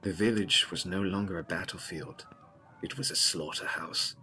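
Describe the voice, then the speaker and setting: A man's voice: low-pitched, moderate pace, bright, forceful tone. A man delivering scripted, dramatic narration of a gothic horror story.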